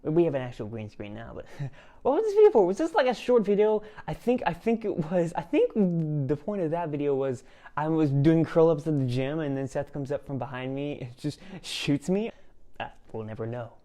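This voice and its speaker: A man's voice: a little high, expressive, moderate pace, warm tone. Rambling self-mocking male host. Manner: rambling, self-mocking, frequent laughs and restarts. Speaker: channel host. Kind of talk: casual reaction commentary.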